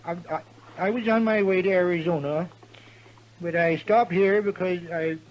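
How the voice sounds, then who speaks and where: Male actor for flustered self-styled general, high-pitched, halting and earnest, dull old radio western sound. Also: a little hiss or hum, lightly acted. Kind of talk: 1950s western radio acting. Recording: dull vintage radio recording.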